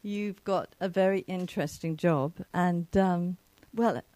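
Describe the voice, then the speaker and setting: A woman's voice: lowish voice, a little slow, mellow, no room sound. A woman from England hosting an interview podcast, asking long rambling questions with many fillers. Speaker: podcast host. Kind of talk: casual interview.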